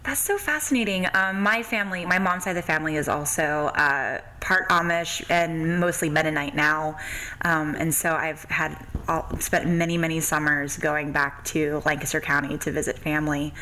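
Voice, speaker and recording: Low-pitched American woman podcast host. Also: steady tone, moderate pace, bright, forceful tone, a little hiss or hum, poor audio. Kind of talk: conversational podcast interview.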